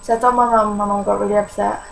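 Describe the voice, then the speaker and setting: A woman's voice: medium pitch, little pitch movement, fast talker, gravelly, slight background noise, low-quality recording. An eighteen-year-old woman from Poland with a Polish accent, talking candidly to camera in a personal vlog, with many fillers. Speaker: vlogger.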